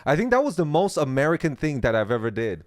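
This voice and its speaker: A man's voice: a little high, very animated, fast, dry recording, clean studio sound. A man who grew up speaking Mandarin, chatting casually and comedically as a stand-up guest.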